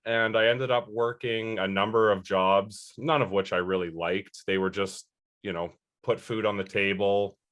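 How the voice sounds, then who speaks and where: A man's voice: lowish voice, fairly bright tone. A man in his early thirties answering interview questions earnestly, rambling a little with fillers and restarts. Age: 30s. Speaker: interview guest.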